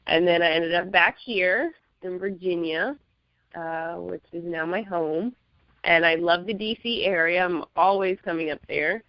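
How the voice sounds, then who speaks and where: Laid-back woman, clear smooth voice. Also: fairly low pitch, measured pace, dry recording, highs cut off. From Miami, Florida. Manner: laid-back, laughs often, hesitant with fillers. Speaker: magazine publisher, guest. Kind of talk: interview answers.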